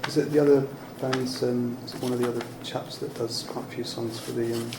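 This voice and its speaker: A man's voice: mid-range voice, little pitch movement, fairly quick. A man with an English accent asks informal, rambling questions in a casual interview chat. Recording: echoey room, some background noise.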